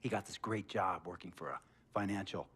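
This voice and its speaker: A stern, lecturing team boss. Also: male voice, low voice, a little fast, a bit bright, slightly rough. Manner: lecturing, sarcastic, authoritative. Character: stern middle-aged male team executive. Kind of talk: video game cutscene acting.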